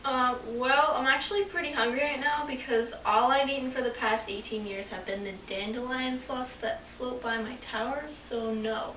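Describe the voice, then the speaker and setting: A woman's voice: high voice, steady tone, normal speed, few pauses, sharp tone. A woman playing a sheltered, resentful eighteen-year-old fairytale maiden in an amateur comedy skit, blunt and petulant. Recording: roomy, distant mic, some background noise.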